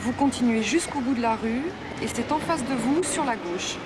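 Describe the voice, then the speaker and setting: A woman's voice: on the high side, expressive, normal speed, heavy background noise. A woman giving directions to a stranger in a town street. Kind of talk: scripted street dialogue.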